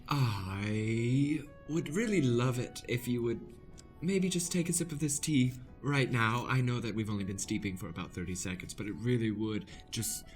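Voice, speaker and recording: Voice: mid-range voice, normal speed, soft background music, natural voice. An improv actor playing a flirty, scheming aging female movie star in a theatrical radio play, full of suggestive innuendo. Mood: coy, flirtatious.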